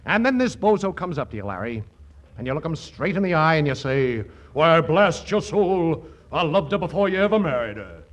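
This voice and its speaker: An actor playing a brash, hammy, fast-talking Hollywood screenwriter in a 1940s radio comedy, theatrical one moment and offhand the next. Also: male voice, a little high, sing-song. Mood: hammy, dramatic. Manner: theatrical, swinging from grand to offhand.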